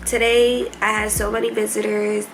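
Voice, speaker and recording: A woman's voice: medium pitch, level tone, moderate pace, music in the background, rough recording. A young woman vlogging casually and candidly from a hospital room, laughing often, tired and stuffy-nosed.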